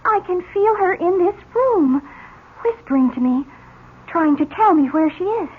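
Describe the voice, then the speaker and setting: Radio mystery: delinquent orphan girl, theatrical and sly, piercingly high, on a muffled hissy recording. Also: lively intonation, moderate pace. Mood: entranced, eager. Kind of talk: old-time radio drama acting.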